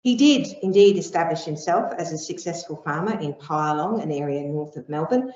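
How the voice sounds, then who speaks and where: Low-pitched middle-aged woman lecturing, steady and explanatory, dull lo-fi sound. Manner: measured, explanatory, with frequent ums. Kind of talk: academic lecture with slides. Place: lecture room. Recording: echoey room, dull lo-fi sound.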